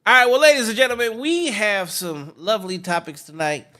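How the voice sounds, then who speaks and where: A man's voice: high-pitched, brisk, bright, forceful tone. A man hosting a casual talk show, hyped and teasing as he chats with his guest. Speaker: podcast host. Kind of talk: casual chat.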